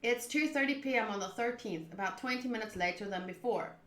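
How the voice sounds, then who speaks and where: Bright, high-pitched chatty woman streamer. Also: brisk, roomy sound. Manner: chatty, theatrical, swerving into asides. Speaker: streamer playing a visual novel. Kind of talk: casual live playthrough commentary, reading aloud.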